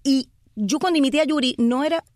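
A woman, an actress and impressionist, telling stories in an interview in an animated way and slipping into voices. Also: high-pitched, quick pace, dry recording, clean studio sound. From Puerto Rico. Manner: animated, slips into voices, many tags like "¿sabes?". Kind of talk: lively storytelling in a chat interview.

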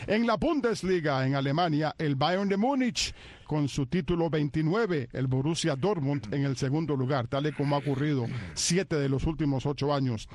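A man's voice: a little high, moderate pace, darkish tone. A man hosting a radio sports show, running through results in an announcer's manner. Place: Miami radio studio.

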